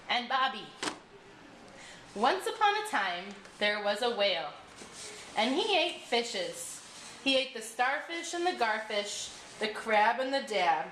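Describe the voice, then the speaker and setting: A woman reading a scripted puppet show story aloud as narrator, with expressive delivery. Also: a little high. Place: school room. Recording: roomy room sound with faint noise.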